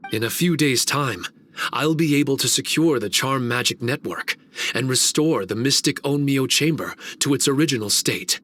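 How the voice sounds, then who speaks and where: Bright-voiced, formal guardian spirit played by a man. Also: mid-range voice, dry recording, natural voice. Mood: resolute. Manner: formal, courteous, measured. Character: earnest, dutiful male guardian spirit of a mystic training domain. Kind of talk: video game cutscene voice acting.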